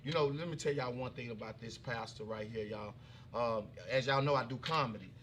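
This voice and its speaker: A man hosts a relaxed talk show in a folksy, chatty way, circling back and repeating himself. His voice is bright and forceful. A faint hiss sits under the recording. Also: medium pitch, brisk. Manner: folksy, repeats himself, calls audience y'all. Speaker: comedian. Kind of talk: casual chat.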